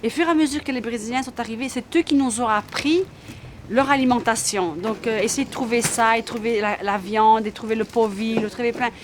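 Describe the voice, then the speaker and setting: Woman, native Italian speaker, shop owner, calm repetitive talk, slightly high voice, noisy shop ambience. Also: a little fast. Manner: calm, repeats phrases. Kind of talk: documentary interview.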